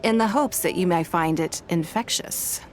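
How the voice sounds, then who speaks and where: A woman playing a composed, gracious noblewoman of power in a video game, speaking with formal, measured politeness. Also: lowish voice, music in the background, close to an everyday voice.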